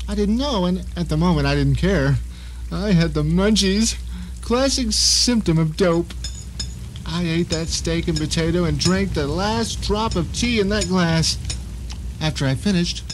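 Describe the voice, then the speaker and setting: Male actor as blind middle-aged prisoner in his own home, arrogant and suspicious; high voice, theatrical first-person suspense drama, noisy backdrop.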